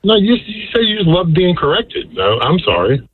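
A raspy-voiced male caller on the phone, needling the host with a correction, from outside a store. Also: sits high, sing-song, moderate pace, no room sound. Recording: tinny phone line with loud crowd.

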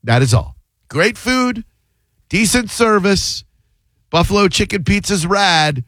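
A man's voice: high-pitched, lively intonation, fairly bright tone, no echo, studio quality. A male radio host taking calls and ranting bluntly, often mocking his callers. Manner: blunt, mocking, quick to rant. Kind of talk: call-in radio banter.

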